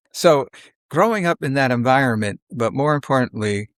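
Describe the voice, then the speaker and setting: A man's voice: medium pitch, very animated, a little slow, a bit bright, dry recording. Older man telling stories, close dry sound. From South Philadelphia, United States. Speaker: podcast guest. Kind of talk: conversational storytelling, reminiscing.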